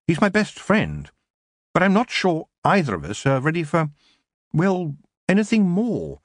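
An earnest young woman witch as a male narrator acts her out in a storybook. The voice is raised above a man's normal pitch and very lively in its rise and fall, frank and determined. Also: a little slow, dry recording, clean studio sound, exaggerated voice. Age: young adult. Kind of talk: audiobook character voice.

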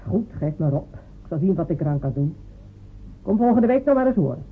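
A husky, sharp-tongued cobbler. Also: female voice, on the low side, very animated, fairly quick. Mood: teasing. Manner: dry, teasing, then stubborn. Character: sharp-tongued female village cobbler, loyal wife. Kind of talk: radio drama acting. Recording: phone-like old radio recording.

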